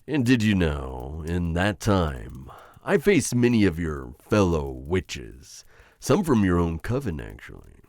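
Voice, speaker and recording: Witch hunter, male actor, ASMR roleplay. Deep register with lively swings, intimate taunting menace, inner conflict underneath. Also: no echo, clean studio sound, exaggerated voice. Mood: smug, musing. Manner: slow, halting, intimate taunting address to listener.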